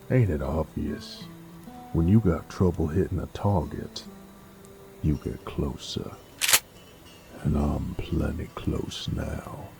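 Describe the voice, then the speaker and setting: A man playing a gruff, cocky werewolf bounty hunter in a Western audio roleplay, drawling in an American Southern accent with sardonic taunts. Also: extremely low pitch, slow, pauses often, over music, full character voice. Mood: mocking. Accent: American Southern drawl, Western style.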